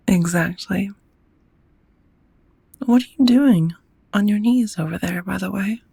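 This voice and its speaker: A woman playing a gentle, courteous female vampire roommate in an intimate ASMR roleplay, speaking in a tender, softly formal, breathy half-whisper. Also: medium pitch, slow pace, long pauses, no echo, exaggerated voice. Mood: patient, amused.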